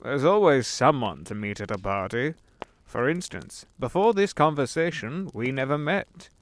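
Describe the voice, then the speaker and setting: An actor playing an ancient, suave devil posing as a gentleman, genteel and condescending in an audio drama. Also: medium pitch, animated, normal speed, natural voice. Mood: genial. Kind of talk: fan audio drama acting.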